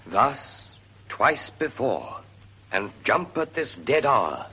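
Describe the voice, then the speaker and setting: An actor playing an earnest, watchful soldier in 1940s British radio Shakespeare, delivering verse in a formal theatrical way. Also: male voice, medium pitch, big pitch swings, takes it slowly, sharp tone, husky, heavily acted. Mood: troubled, questioning. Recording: muffled, noisy 1940s radio recording.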